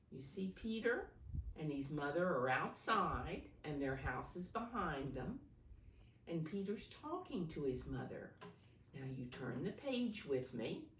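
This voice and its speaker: A woman speaking as a preschool teacher at storytime, explaining things simply and deliberately to young children. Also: low voice, a little hiss or hum. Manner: simple, deliberate explaining, refers to herself in third person. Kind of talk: read-aloud storytime for preschoolers. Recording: roomy echo, distant microphone.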